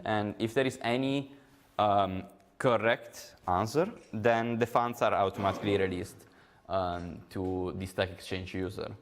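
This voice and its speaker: Italian guy giving a tech talk, low gravelly voice, lots of ums. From Italy. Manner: hesitant, many ums and uhs, explanatory. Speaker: conference speaker. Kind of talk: technical conference talk.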